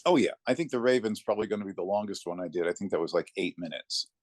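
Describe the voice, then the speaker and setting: A man talking conversationally as an interview guest, telling anecdotes in a reflective way with thoughtful pauses. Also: low voice, no echo. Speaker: interview guest, narrator of classic readings.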